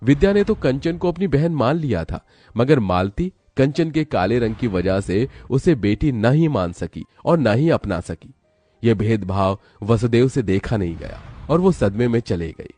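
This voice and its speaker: A man's voice: mid-range voice, expressive, measured pace, dark tone, no echo. A man narrating a scripted cartoon story as a storyteller.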